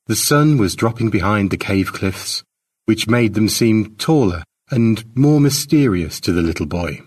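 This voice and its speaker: A man's voice: fairly low pitch, animated, a little slow, darkish tone, slightly rough, distant mic. A man reading a scripted short story aloud as its author and narrator. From South Africa (based there).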